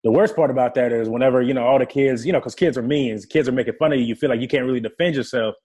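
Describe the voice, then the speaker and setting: A man's voice: medium pitch, racing, a little mellow, slightly rough. A young man from the Democratic Republic of Congo, a native French speaker, telling his life story earnestly and vividly as a guest in a casual interview. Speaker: guest, player engagement staff for a football team.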